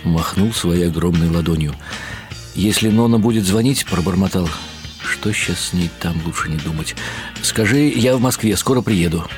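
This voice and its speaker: A male actor as a world-weary writer narrating a radio drama. His voice is very low, his delivery ironic and musing, a little self-mocking. Music plays clearly behind him. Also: normal speed, lightly acted. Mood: wry, musing. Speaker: narrator and lead character.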